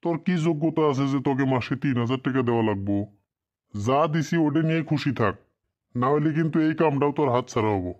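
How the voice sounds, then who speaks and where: A man's voice: medium pitch, animated, fast, darkish tone, lo-fi sound, natural voice. A man voicing a callous, exploitative cartoon boss, scolding and dismissive in a rural Bengali dialect. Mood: bullying. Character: callous, exploitative boss and his bullying henchman. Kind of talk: cartoon drama dialogue.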